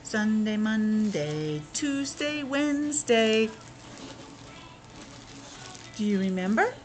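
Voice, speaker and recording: A woman's voice: mid-range voice, expressive, slow, soft background music. A woman teaching young children in a playful, exclamatory way, asking questions and sounding out words. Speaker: preschool teacher. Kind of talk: interactive lesson for young children.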